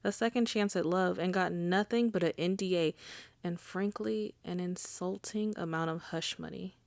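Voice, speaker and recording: A woman's voice: fairly low pitch, steady tone, moderate pace, gravelly, darkish tone, no echo. A woman playing a wry, charming female mafia boss in an intimate ASMR roleplay, conversational and teasing. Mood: wry, indignant. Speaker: audio roleplay voice actor.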